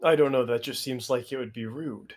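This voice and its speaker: A man playing a very tall, polite, earnest soldier in a tabletop roleplay, speaking in a measured, literal way. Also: mid-range voice, lightly acted. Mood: earnest, puzzled. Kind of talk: improvised in-character roleplay.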